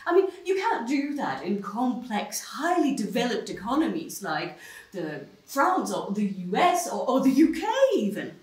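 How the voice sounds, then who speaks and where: An actress playing a self-assured, smug free-market intellectual in a satirical stage debate. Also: female voice, fairly high pitch, sing-song, moderate pace. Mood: confident, emphatic. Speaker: actor in a stage play. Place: small stone-walled theatre stage. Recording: roomy stage sound, distant mic.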